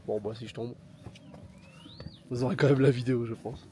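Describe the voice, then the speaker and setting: Man, mid-pitched, stuffy head cold, excited travel vlogging, outdoors, noisy outdoor recording. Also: moderate pace. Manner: excited, marvelling, mixes in simple English. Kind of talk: casual vlog narration. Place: outdoors on a volcanic plain.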